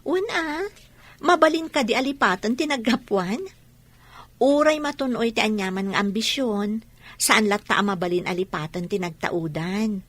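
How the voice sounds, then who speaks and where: An actress playing a kind, contented young bride and friend in an Ilocano radio drama. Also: female voice, medium pitch, normal speed, a bit bright, natural voice. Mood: friendly.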